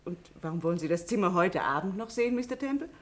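An actress playing a courteous, mildly strict lady in charge in a 1950s German radio drama, speaking with polite, brisk authority. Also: lowish voice, expressive, lightly acted.

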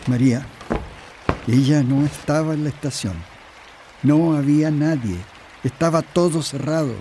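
Through clearly noisy audio, a man plays a weary, stubborn Chilean husband in a radio play. His voice sits a little high for a man, and he talks in curt, grumbling, disillusioned tones. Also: steady tone, unhurried, warm tone, lightly acted. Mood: irritated, resigned. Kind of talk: radio drama acting.

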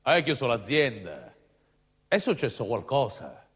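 A man's voice: medium pitch, sing-song, measured pace, fairly bright tone, slightly rough, heavily acted. An actor playing an insinuating, menacing local man in a stage drama, drawing out his words. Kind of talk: theatrical drama acting. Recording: distant, reverberant hall playback.

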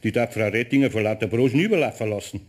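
An actor playing a good-natured, patient older master craftsman with a Bavarian accent in a children's radio play, homely and gently admonishing. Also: on the low side, fast talker, breathy edge. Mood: patient, resigned.

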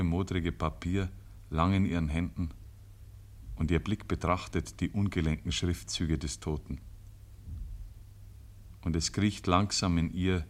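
A man with a Bavarian accent narrating a rural tale in a literary radio play. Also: low-pitched. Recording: 1950s radio recording.

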